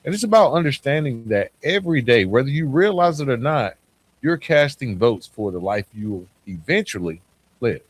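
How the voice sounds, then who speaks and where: Man with lively, expressive delivery. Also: medium pitch. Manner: deliberate, emphatic, rhetorical questions. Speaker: podcast host. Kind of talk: motivational monologue to listeners.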